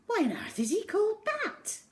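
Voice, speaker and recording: A woman voicing a ferocious, unpleasant little female schoolteacher in a storybook, with a drawn-out, incredulous delivery. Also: very high-pitched, wildly swooping pitch, voice pushed up, exaggerated voice.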